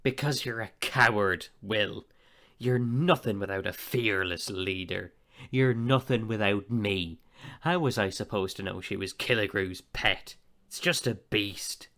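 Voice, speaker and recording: An actor playing a proud young upstart captain with an in an audio play. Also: male voice, lowish voice, a little slow, fairly bright tone. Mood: scornful. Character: cocky, scornful young upstart male pirate captain. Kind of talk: theatrical audio play acting.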